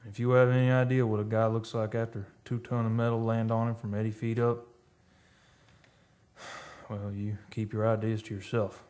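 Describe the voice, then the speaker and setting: A man's voice: lowish voice, little pitch movement, moderate pace, darkish tone, close to an everyday voice. A man playing a shaken oil-rig worker in a radio drama, telling an eerie tale in folksy, colloquial speech. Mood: haunted, grim. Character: shaken oil-rig worker recounting eerie deaths, defensive under questioning. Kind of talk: radio drama first-person narration.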